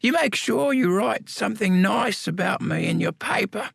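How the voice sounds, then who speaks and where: A man voicing a stubborn, toothless old man in his nineties with a shrill, piping voice. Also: expressive, moderate pace, gravelly, a bit bright, husky, no room sound, studio quality, heavily acted. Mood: cantankerous. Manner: stubborn, cantankerous.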